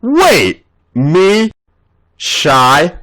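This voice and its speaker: A man voicing a feisty, sassy young female wrestler in a story reading, pushing his voice much higher and louder. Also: wildly swooping pitch, drawn-out words, exaggerated voice. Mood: indignant. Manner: sassy, indignant.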